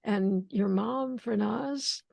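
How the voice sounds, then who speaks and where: A woman hosting a poetry conversation over a video call, thoughtful and searching, with many pauses and restarts. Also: mid-range voice, gravelly, a little mellow, no echo. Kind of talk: casual video call discussion.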